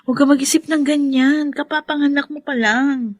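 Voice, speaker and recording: A woman's voice: high voice, steady tone, moderate pace, no echo, clean studio sound, close to an everyday voice. A Filipino woman playing a caring younger sister in a drama, soothing and practical as she reassures. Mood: reassuring, concerned. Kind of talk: drama acting.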